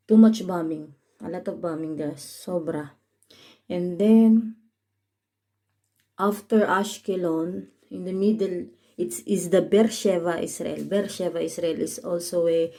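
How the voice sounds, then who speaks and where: A woman with a Filipino accent, Tagalog her first language, talking to her vlog audience in plain, simple English. Her voice sits a little low and sounds soft and mellow. She goes slowly, stopping to restart her sentences and filling gaps with "uh.". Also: gravelly. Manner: halting, many fillers and restarts, simple explanatory English. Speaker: caregiver and vlogger. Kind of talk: casual update vlog. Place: home in northern Israel.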